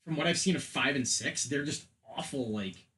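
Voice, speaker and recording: A man's voice: on the high side, normal speed, sharp tone. A man talking casually and emphatically as a podcast co-host, giving strong opinions on entertainment. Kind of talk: casual film and game discussion.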